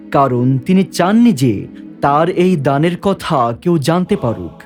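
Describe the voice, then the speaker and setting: A male narrator reads a scripted story. His voice is a little higher than most men's, and music runs behind the narration. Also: a little mellow. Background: background music.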